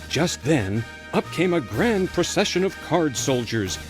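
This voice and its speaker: A man's voice: fairly high pitch, sing-song, a little slow. A man narrating a scripted children's storybook tale as its storyteller. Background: faint music.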